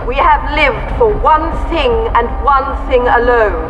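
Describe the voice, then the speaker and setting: Slow, solemn doomed woman. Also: sits high, dull audio, over music. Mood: solemn, bitter. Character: woman victim, solemn and bitter. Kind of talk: dramatic audio drama acting.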